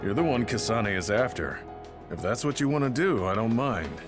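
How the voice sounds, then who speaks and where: A man's voice: medium pitch, expressive, moderate pace, music in the background, close to an everyday voice. A man voicing a skeptical, laid-back young soldier in an anime-style game.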